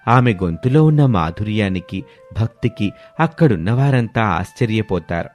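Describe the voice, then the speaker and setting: A man's voice: on the low side, expressive, moderate pace, a little mellow, faint music behind. A man reads scripted narration of a moral family story as a storyteller.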